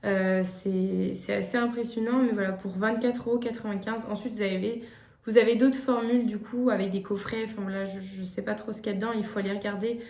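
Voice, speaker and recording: A woman's voice: mid-range voice, steady tone, a little fast, darkish tone. A young woman vlogging gift ideas in casual chat to the camera, hesitant, with many fillers and restarts. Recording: echoey room.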